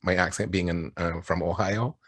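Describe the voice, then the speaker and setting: Low-voiced Barbadian man telling his story. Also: steady tone, moderate pace, a bit bright, slightly rough. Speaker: panelist, advertising creative. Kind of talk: conversational personal storytelling on a panel.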